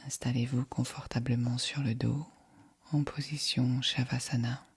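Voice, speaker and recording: A woman guiding a yoga nidra meditation in a soft, breathy, whispery voice, calm and soothing. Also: extremely low pitch, dry recording. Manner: calm, soothing, measured delivery. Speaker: meditation guide.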